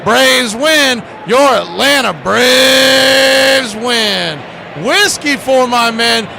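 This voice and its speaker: High-pitched man calling baseball, heavy background noise. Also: very slow, gravelly, over a noisy crowd. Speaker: baseball play-by-play announcer. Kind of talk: excitable live sports commentary with casual banter.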